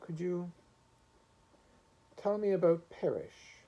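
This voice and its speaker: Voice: medium pitch, takes it slowly, warm tone, voice pushed up, close to an everyday voice, low-quality recording. A reader voicing a meek, humble little man who speaks politely and hesitantly, anxious and kind. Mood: meek, concerned.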